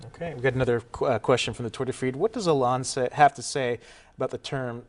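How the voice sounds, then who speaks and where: A man hosting a broadcast interview, posing questions and wrapping up the episode in a brisk manner. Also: mid-range voice. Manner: brisk, reads questions and wraps up the show. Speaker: interview host.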